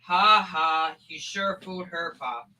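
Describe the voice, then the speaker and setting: A woman's voice: lowish voice, slow pace, sharp tone, exaggerated voice, poor audio. A young performer playing an earnest, gullible thirteen-year-old boy in a school old-time radio comedy. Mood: eager. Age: young teen.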